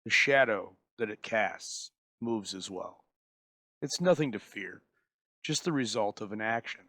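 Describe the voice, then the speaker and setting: A man's voice: on the low side, animated, a little slow, sharp tone, no echo. A man reading scripted narration as the host introducing an old radio programme.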